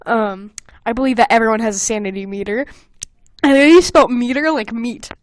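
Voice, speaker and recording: A woman's voice: fairly high pitch, brisk, dry recording, clean studio sound. A young woman hosting a casual comedy podcast, reading aloud and commenting in a giggly, self-mocking, exclamatory way. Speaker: podcast host. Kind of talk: casual comedic chat, reading own old fan fiction aloud.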